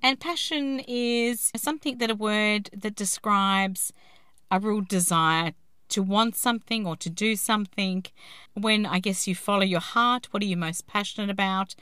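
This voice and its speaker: A woman's voice: medium pitch, unhurried, sharp tone, smooth, no echo, studio quality. A woman hosting a podcast, giving a thoughtful, musing introduction. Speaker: podcast host.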